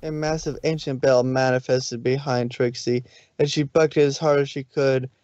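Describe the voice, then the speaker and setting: Man, narrator, steady storytelling read, dry close sound, lo-fi audio. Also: mid-range voice, moderate pace, dry recording. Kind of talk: reading fanfic narration aloud. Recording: dull, lo-fi stream audio.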